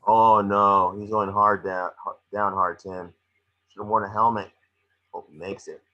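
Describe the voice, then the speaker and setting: A man's voice: on the low side, level tone, measured pace, dull audio, natural voice. A man playing a small, cocky, wisecracking creature detective, snarky and bossy, in an amateur script reading.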